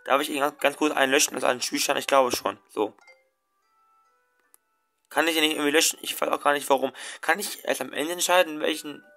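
A man's voice: a little high, steady tone, fairly quick, vocal fry, pushed and bright, soft background music. A young man hosting a casual let's play, talking in a rambling way with many fillers and restarts.

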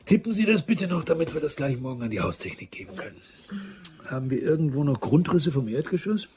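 A man's voice: on the high side, sing-song, brisk, a little mellow, slight background noise. A man playing a weary, impatient office boss in a German crime radio drama, grumbling as he talks. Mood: businesslike.

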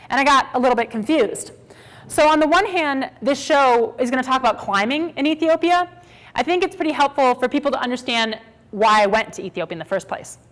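A woman in her thirties from Minnesota, giving a lively, conversational stage talk to an audience. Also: fairly high pitch. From Minnesota, USA. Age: 30s. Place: small stage with brick wall backdrop.

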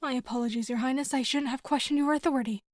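A woman's voice: high voice, steady tone, quick pace, dry recording, studio quality, close to an everyday voice. A woman playing a timid, apologetic young palace maid in an audio drama, meek and deferential. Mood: meek, anxious.

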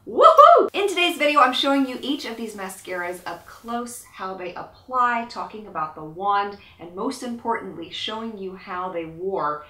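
A woman's voice: medium pitch, very animated, moderate pace, hardly any pauses, bright, forceful tone, reverberant, poor audio. A young woman doing an upbeat beauty product review to camera, with bubbly, emphatic delivery. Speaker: beauty vlogger. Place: small pink-walled room, indoors.

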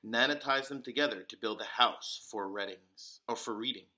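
A man reading a children's picture book aloud as a storyteller, with deliberate, dramatic emphasis. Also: medium pitch, sharp tone. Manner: deliberate, dramatic emphasis with occasional whispers and exclamations.

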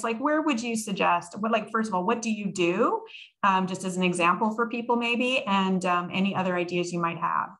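Woman in her forties, low-voiced, upbeat panel moderator on a webcam. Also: pushed and bright. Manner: upbeat, asks rambling, loosely built questions. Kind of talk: moderating a panel on a video call. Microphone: video call webcam.

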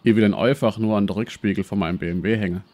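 An actor playing a brash, self-important professor and treasure hunter with a Swabian accent in a parody detective radio play. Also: male voice, on the low side, steady tone, racing, warm tone, close to an everyday voice. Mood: explaining.

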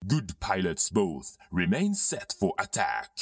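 A man playing a menacing dark lord commanding his soldiers in grandiose Elizabethan verse in an audio drama. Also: sits low, wildly swooping pitch, slow, no echo, clean studio sound, distant mic, full character voice.

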